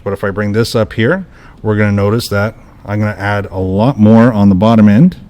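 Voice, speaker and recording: A man hosting a hands-on equipment tutorial, calmly explaining each step as he adjusts the controls. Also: lowish voice. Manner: relaxed, explanatory, talks through each step while adjusting knobs. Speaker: product tutorial host. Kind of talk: casual hands-on equipment demo.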